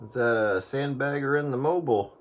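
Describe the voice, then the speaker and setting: Fast-talking man on noisy radio. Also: mid-range voice. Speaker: radio operator on a radio round-up. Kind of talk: casual radio chatter. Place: two-way radio transmission. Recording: noisy two-way radio with heavy static.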